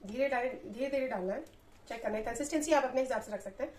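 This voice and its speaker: A woman's voice: a little high, quick pace, distant mic, noisy background. A woman in her thirties chatting casually as she explains each cooking step, recorded on a phone a few feet away in a small home kitchen. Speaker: cooking vlogger. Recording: roomy kitchen sound, off-mic, music underneath.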